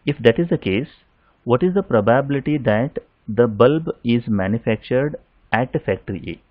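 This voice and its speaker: A man lecturing over slides in a steady explaining manner, often pausing with "uh" fillers. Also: mid-range voice, no echo, lo-fi sound.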